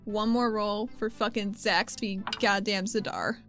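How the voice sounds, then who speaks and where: A woman takes part in a tabletop roleplaying game as a player. Her talk is casual, wry and loose-tongued. Her pitch is average for a woman, and music plays behind her. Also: steady tone, measured pace, fairly bright tone. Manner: informal, sweary, wry.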